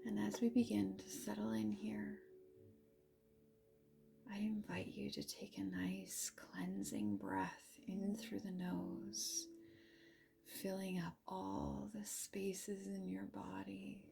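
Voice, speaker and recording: A woman leading a guided meditation in a soft, breathy half-whisper, gently and with long pauses. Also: medium pitch, flat delivery, a little hiss or hum. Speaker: meditation guide, yoga teacher. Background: music.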